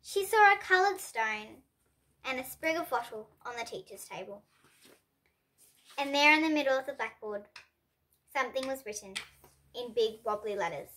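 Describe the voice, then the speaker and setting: A young reader, presented as a child, reading a children's picture book aloud with expressive delivery and lightly voiced characters. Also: female voice, a little high, moderate pace, pauses often, poor audio. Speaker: storybook reader.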